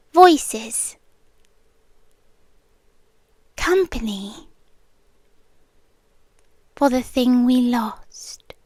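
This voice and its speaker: A woman's voice: high-pitched, dry recording, studio quality. A British woman playing a centuries-old possessive siren girlfriend in an ASMR roleplay, tender yet menacing, often sinking into whispers. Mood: quiet menace. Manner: slow, hushed, dropping often into whispers. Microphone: close, dry microphone.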